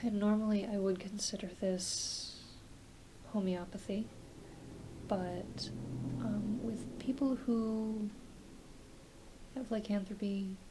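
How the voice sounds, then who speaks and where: A woman performing a soft-spoken, often whispered ASMR roleplay as a doctor, talking gently and reassuringly to a patient with some hesitancy. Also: mid-range voice, steady tone, takes it slowly, long pauses. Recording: clear background hiss.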